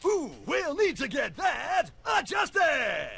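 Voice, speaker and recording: A man voicing a bombastic, hearty cartoon mascot announcer in a game, shouting and showboating. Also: very high voice, very animated, slow pace, gravelly, full character voice. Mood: triumphant.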